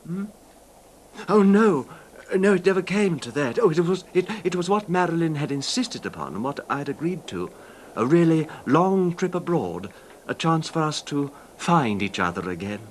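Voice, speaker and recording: A nervous husband who dreads confrontation, in a 1970s radio play. His fairly high voice swings widely in pitch as he stammers and apologizes. The old broadcast recording is slightly noisy. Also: sing-song, moderate pace. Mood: wistful, reflective. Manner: hesitant, stammering, anxious. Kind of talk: 1970s British radio drama acting.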